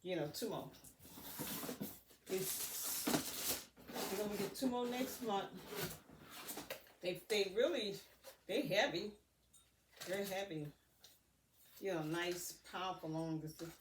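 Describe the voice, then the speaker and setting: A woman's voice: medium pitch, slow pace, long pauses. A woman vlogger chatting casually to viewers in a rambling, folksy way, often thinking aloud. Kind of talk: casual unboxing vlog chat to viewers. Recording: roomy, distant mic, faint noise.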